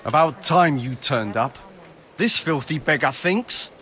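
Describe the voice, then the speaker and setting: A man's voice: on the high side, animated, unhurried, pushed and bright. A man voicing a scornful, grumbling medieval armourer in a video game, irritable and indignant. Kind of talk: dramatic game cutscene dialogue.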